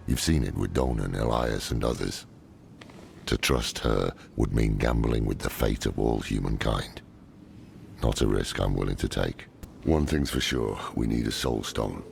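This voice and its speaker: Very deep, gravelly old scholar. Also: male voice, measured pace, heavily acted. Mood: grave, resolute. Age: older. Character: grave old male scholar, weary, brooding, resolute. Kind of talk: dramatic video game acting. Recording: loud background music.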